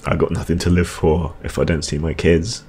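A man talking alone in a weary, halting monologue with frequent ums and restarts, reacting to a debate for his video channel. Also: extremely low pitch, flat delivery, a little mellow, slightly rough. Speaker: video blogger.

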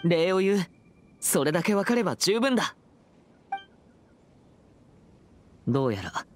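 A man's voice: fairly high pitch, slight background noise. A man voicing a composed, earnest teenage boy exorcist in an anime game, speaking seriously and politely with a slightly stiff manner. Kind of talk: anime game character acting.

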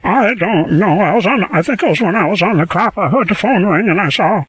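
A man playing a husky, reedy old codger. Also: sits high, animated, fairly quick, a bit bright, no echo, heavily acted. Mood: rambling. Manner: rambling, plaintive, feigning confusion. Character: lonely bald old man caller. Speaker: scambaiter.

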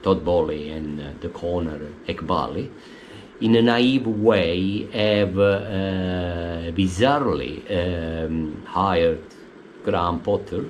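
Italian-accented man, slow and hesitant. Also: a little hiss or hum. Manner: hesitant, many uh fillers, animated pitch swings. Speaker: football fan vlogger. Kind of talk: opinionated casual commentary. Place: living room at home, indoors.

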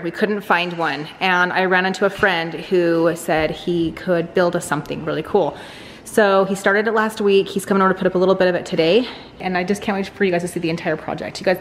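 A woman's voice: on the low side, slight background noise. A middle-aged American woman chatting casually to viewers, informal and thinking aloud as she goes. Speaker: family vlogger.

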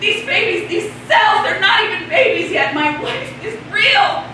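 A woman acts a desperate, angry wife in a stage drama, fighting for her sick partner. Her voice is very high-pitched. It comes from far off in a very echoey space with clear background noise. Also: lively intonation, a little slow, pushed and bright, heavily acted. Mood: furious, desperate. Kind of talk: live one-act stage monologue. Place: festival stage before a seated audience. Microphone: far camera. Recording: echoey, noisy stage, distant camera mic.

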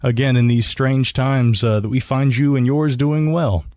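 A male host reads a formal introduction at a measured pace. His voice sits at a middle pitch, and the sound is close and dry. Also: steady tone, dark tone, no echo. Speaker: podcast host.

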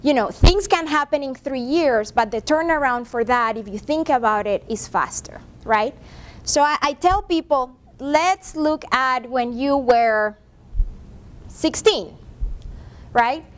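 A woman's voice: high-pitched, takes it slowly. A woman in her forties presenting a professional development workshop to a seated class, talking conversationally and often checking in with "right?". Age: 40s. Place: classroom with a seated audience, projector screen. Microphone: far room camera.

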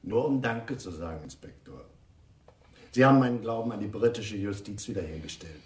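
A man's voice: on the low side, lively intonation, a little fast, close to an everyday voice. A man playing a cheeky, indignant career criminal in a German radio crime drama. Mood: mock grateful. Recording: roomy, noisy radio play recording.

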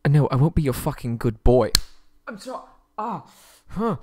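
A man's voice: a little high, very animated, moderate pace, noisy background. A man playing a haughty but frightened young kidnapped prince in an ASMR roleplay audio drama, stammering and pleading, close on the mic. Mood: panicked, submissive.

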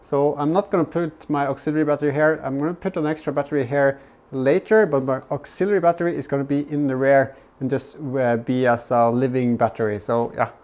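A man's voice: mid-range voice, fairly even intonation, a little fast, darkish tone. A man explains things casually in a vlog, hesitantly and often repeating words. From Norway. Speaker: vlogger, DIY car channel host. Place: home garage workshop, indoors.

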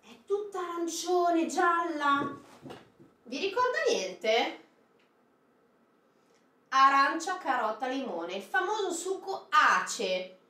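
A woman's voice: sits high, very animated, slow, long pauses, pushed and bright. A woman in her thirties presenting a food box unboxing, talking straight to viewers, asking them questions and giving recipe tips from a small indoor set. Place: small indoor studio set with produce crates. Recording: echoey room.

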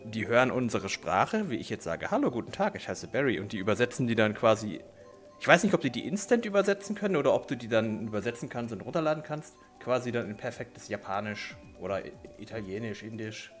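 A man's voice: medium pitch, sing-song, quick pace. A man with a German accent and a slight cold, chatting casually and at length as a gaming video host. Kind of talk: casual chat while gaming. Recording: game music behind the voice. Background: video game music.

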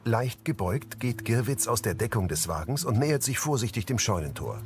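A man's voice: mid-range voice, animated, normal speed, background noise. A man reading scripted present-tense audio description of scenes as a narrator.

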